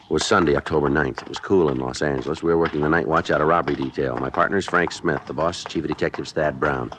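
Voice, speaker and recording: An actor in a 1950s radio drama playing a terse, patient police detective, deadpan and matter-of-fact. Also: male voice, extremely low pitch, fast talker. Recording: noisy vintage 1950s radio recording.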